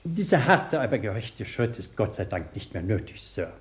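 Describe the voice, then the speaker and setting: An actor playing a dry, politely impertinent butler in a radio drama. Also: male voice, lowish voice, sing-song, normal speed. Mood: deadpan. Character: dry, cheeky elderly butler.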